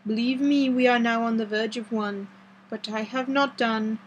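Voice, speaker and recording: A resolute, earnest old doctor from a gothic audiobook as a woman narrator plays him. The phrasing is formal and a little broken, solemn and imploring. The voice sits fairly high over faint noise. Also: steady tone, moderate pace, natural voice, poor audio. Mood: grave.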